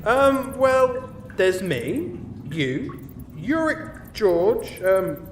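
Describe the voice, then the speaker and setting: Flustered high-pitched jailer acted by a man. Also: big pitch swings, very slow, distant mic, full character voice. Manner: hesitant, fussy, sweary. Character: exasperated, officious jailer trying to keep order. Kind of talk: comedic fantasy audio drama. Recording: roomy echo, off-mic, faint background noise.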